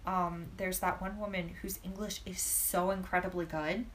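A woman in casual podcast conversation, telling stories in an animated way and laughing often. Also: on the low side, moderate pace, a little hiss or hum. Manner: giggly, animated storytelling with frequent laughs. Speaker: podcast co-host. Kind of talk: casual chat.

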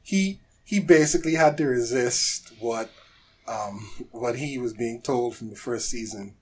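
A man's voice: mid-range voice, a little slow, darkish tone, a little hiss or hum. A man talking casually as one of the panelists on a podcast. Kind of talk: casual chat about TV.

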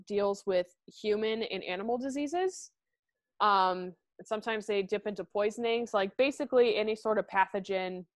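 A young woman from the Midwest, co-hosting a podcast in excitable, chatty talk that slips into casual swearing. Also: mid-range voice, no room sound. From American Midwest. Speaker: podcast co-host. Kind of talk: casual chat.

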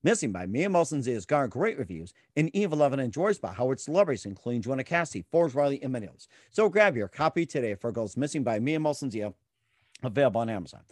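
A man's voice: medium pitch, big pitch swings, fast, no room sound, studio quality. A man hosting a podcast interview, reading a sponsor ad and then asking questions with many fillers. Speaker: podcast host. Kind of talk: interview with ad read.

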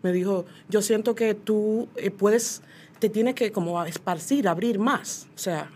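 A woman's voice: medium pitch, unhurried, a little mellow. A woman from the Dominican Republic with a Dominican accent, talking casually as an interview guest and repeating phrases for emphasis. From Puerto Plata, Dominican Republic. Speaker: guest, muralist and illustrator. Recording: faint background noise, ambient sound.

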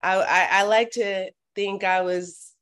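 A woman's voice: mid-range voice, steady tone, takes it slowly, a bit bright, smooth. Young woman from Miami, friendly and reflective. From Miami, Florida. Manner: friendly, reflective, with frequent fillers. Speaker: guest. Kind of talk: interview conversation.

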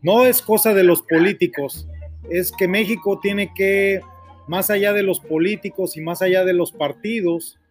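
A middle-aged man with a Mexican accent, hosting live call-in radio and talking in an earnest, rambling way that repeats itself. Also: sits high. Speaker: radio host.

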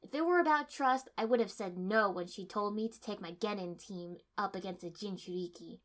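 A woman voicing a brash, angry young male ninja who argues bluntly and loudly. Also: mid-range voice, fairly quick, close to an everyday voice. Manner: loud, blunt, exasperated shouting.

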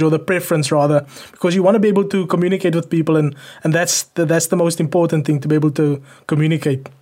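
Casting: conversational man from South Africa, voice a touch high, relaxed discussion lead. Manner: conversational, tag questions, many fillers. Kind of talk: casual chat.

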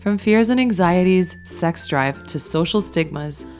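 Female co-host, casual and frank, slightly low register, faint music at times. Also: lively intonation, a little slow, darkish tone. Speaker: podcast co-host. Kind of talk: casual podcast hosting.

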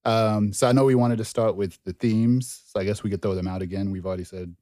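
A young man from Zimbabwe co-hosting a podcast, talking in a relaxed, rambling way and laughing easily. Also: on the low side, fast, dry recording, clean studio sound. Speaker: podcast co-host. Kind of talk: casual chat.

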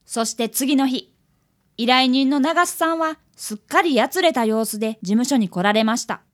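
Young boy apprentice detective voiced by a woman, high register, courteous, guileless narrator in a radio play. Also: animated, moderate pace. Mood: narrating. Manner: polite, storytelling. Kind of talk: radio drama acting and narration.

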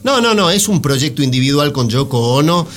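A man's voice: a little high, very animated, normal speed, fairly bright tone, soft background music. An Argentine man chatting casually and jokingly as a panelist on a radio show. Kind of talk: casual comic radio chat.

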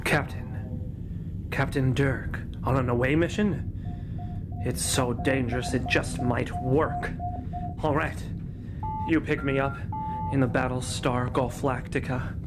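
A man's voice: mid-range voice, fairly even intonation, slow, pauses often, background noise, natural voice. A man playing an earnest, grandiose self-styled space captain in an audio comedy, speaking in a theatrical, commanding way. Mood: grandiose, determined. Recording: voice under very loud music.

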